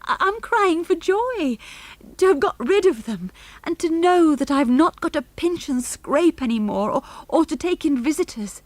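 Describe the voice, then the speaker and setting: A woman voicing a kindly, flustered farm mother in an audiobook, effusive and soft-hearted. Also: on the high side, animated, a little slow, no echo, pitched above the performer's own voice. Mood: tearful joy.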